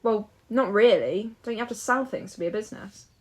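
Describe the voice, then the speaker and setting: A woman's voice: mid-range voice, fairly quick, a little mellow, lightly acted. A woman acting in an audio drama as a restless, hopeful young woman who chats in a musing, playful way. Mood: teasing, hopeful.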